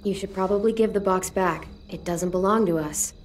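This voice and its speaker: A woman playing a guarded, weary teenage girl survivor in a video game, speaking in a measured, matter-of-fact way. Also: medium pitch, a little mellow, a little hiss or hum, natural voice. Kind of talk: video game drama dialogue.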